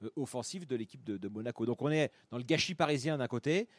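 A man with a mid-range voice talking quickly and casually on a football panel. The sound is close and dry, like a studio. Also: medium pitch, animated, no room sound. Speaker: sports journalist panelist. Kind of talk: casual chat.

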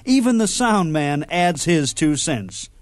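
A man's voice: a little high, big pitch swings, takes it slowly. A man hosting a radio program, narrating introductions to old comedy clips in a plain scripted style.

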